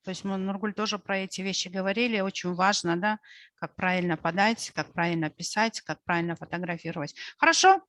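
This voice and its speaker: A woman's voice: mid-range voice, a little fast, fairly bright tone, no echo. A woman moderating an online round table, polite and affirming as she wraps up. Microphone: video call audio.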